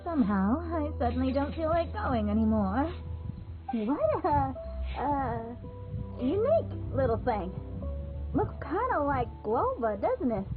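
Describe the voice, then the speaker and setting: A woman playing a proud, easily annoyed young astrologer mage in a video game, with an expressive, huffy manner. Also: sits high, a little slow. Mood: doubtful. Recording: thin phone-like sound with music behind.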